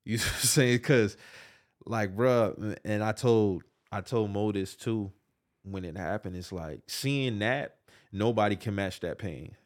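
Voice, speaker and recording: A man's voice: fairly low pitch, unhurried. A man, talking in casual podcast chat. He speaks emphatically and keeps checking in with his listener. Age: 30s. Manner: emphatic, repeats "you get what I'm saying". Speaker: podcast co-host.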